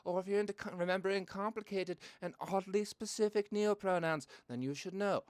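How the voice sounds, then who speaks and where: A man's voice: high-pitched, fairly quick, clear, resonant tone, no echo, natural voice. A man playing a vain, androgynous goddess of secrets in a comedic radio play, breezily grandiose and forever interrupting themself. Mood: breezy, pompous.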